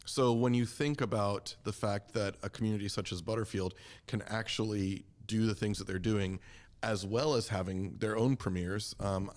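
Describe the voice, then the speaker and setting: A man talking conversationally as an interview guest about his own experiences. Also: lowish voice. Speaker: community theater member, podcast guest.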